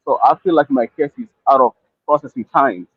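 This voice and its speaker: A man's voice: mid-range voice, brisk, husky, dry recording. A man from Kenya calling in to a live stream, explaining his case hesitantly and repeating himself. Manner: hesitant, repeats himself, checks he can be heard. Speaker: caller. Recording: dry tinny call audio.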